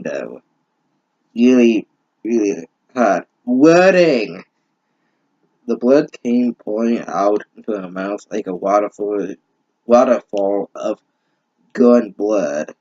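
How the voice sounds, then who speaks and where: A man's voice: lowish voice, mellow. Exasperated man in a dull lo-fi recording. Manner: exasperated, sarcastic, profane, halting. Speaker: host reading and mocking fan fiction. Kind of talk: comedic story reading with ranting commentary.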